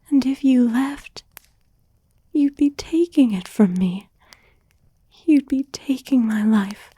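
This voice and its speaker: Woman voicing a possessive yandere girlfriend, wounded, pleading, intimate ASMR whisper, very breathy, soft dark tone, halting delivery. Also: fairly high pitch, no room sound. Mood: desperate, possessive. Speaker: ASMR voice actor. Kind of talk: whispered ASMR roleplay monologue.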